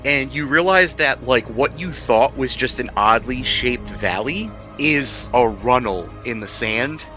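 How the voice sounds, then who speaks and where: A man narrating a tabletop roleplaying game as game master, improvising descriptions in a conversational, halting way. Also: medium pitch, expressive, pushed and bright. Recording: music playing behind the voice.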